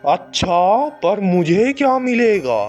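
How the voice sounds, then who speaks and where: A man voicing a calculating male tantric sorcerer in a horror cartoon, speaking slowly, solemnly and deliberately. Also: high-pitched, big pitch swings, darkish tone, soft background music, full character voice. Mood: bargaining.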